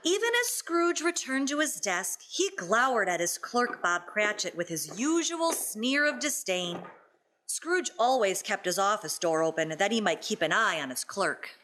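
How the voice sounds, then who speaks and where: Female narrator for radio theatre, scripted storytelling, very animated and bright, slight background noise. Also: medium pitch, a little slow.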